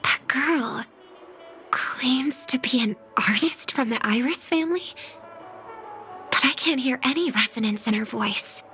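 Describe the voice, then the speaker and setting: A woman voicing an earnest, watchful and worried young singer in a video game, soft and hesitant in manner. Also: sits high, measured pace, soft background music, heavily acted. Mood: wary. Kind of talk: scripted game dialogue, inner monologue.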